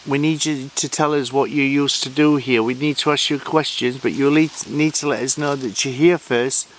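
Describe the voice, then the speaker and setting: A man with a Yorkshire accent from Sheffield, speaking at an ordinary middle pitch. He pauses and hesitates often as he calls out to unseen spirits. The outdoor recording carries a faint hiss. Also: fairly even intonation. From Sheffield, England. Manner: hesitant, halting, many fillers. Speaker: paranormal investigator. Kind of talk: calling out to spirits on a ghost hunt. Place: outdoors at a woodland waterfall site.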